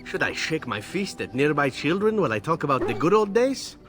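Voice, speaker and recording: An actor playing a suave, flirtatious assassin in a fantasy video game, telling tales in a wry, teasing, theatrical way. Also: male voice, on the high side, very animated, brisk, a bit bright, faint music behind. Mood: amused, teasing. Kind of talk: video game character acting.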